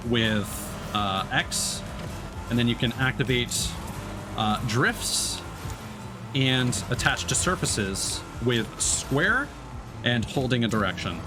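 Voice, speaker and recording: A man giving casual, halting gameplay commentary as a live streamer, explaining as he plays. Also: mid-range voice. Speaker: live streamer playing a racing game. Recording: game music behind the voice.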